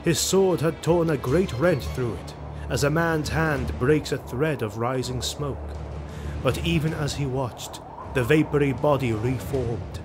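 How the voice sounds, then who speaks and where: A man narrates a sword-and-sorcery audio drama with grave, dramatic delivery. His mid-pitched voice carries scripted prose over clearly audible music. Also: unhurried. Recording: music behind the voice.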